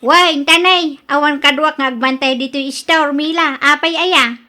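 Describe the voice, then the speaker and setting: A woman's voice: high-pitched, steady tone, normal speed, bright, forceful tone. A woman with an Ilocano accent playing a defensive mother of a grown daughter in a radio drama.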